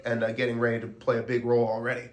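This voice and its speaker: A man's voice: mid-range voice, monotone, rapid-fire. A young man co-hosting a college sports podcast, introducing the guest and asking friendly, chatty follow-ups. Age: young adult. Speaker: podcast co-host. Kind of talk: casual podcast interviewing. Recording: roomy echo.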